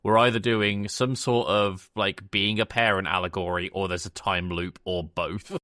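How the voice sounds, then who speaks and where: A man's voice: low-pitched, steady tone, moderate pace, bright, forceful tone, no echo, studio quality. A man hosting a podcast in casual chat, talking hesitantly with joking asides. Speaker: podcast host. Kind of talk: casual chat reviewing a film.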